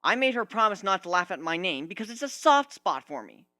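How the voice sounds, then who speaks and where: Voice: mid-range voice, very animated, a little fast, sharp tone, dry recording, clean studio sound, pitched above the performer's own voice. A narrator voicing a wounded, sarcastic young male griffin who rants emphatically in a storybook reading. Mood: defensive, angry. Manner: ranting, emphatic, aggrieved.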